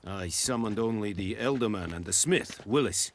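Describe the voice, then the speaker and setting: A man playing a stern foreign army commander in a video game, speaking English as a second language in a formal, measured way. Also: on the low side, expressive, a little hiss or hum, lightly acted. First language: not English. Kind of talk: video game dialogue acting.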